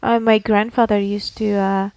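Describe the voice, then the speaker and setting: A woman's voice: medium pitch, little pitch movement, normal speed, darkish tone, smooth, no echo. A woman from Slovakia, co-hosting a casual podcast and explaining things in a chatty way, often checking that her listener follows. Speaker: podcast co-host. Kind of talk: casual conversational podcast chat.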